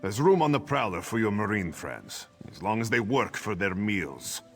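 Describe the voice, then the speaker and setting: A man's voice: fairly low pitch, sing-song, normal speed, a bit bright. An actor playing a brash, wry male privateer sailor in a fantasy video game. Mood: wry, businesslike. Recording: game music behind the voice.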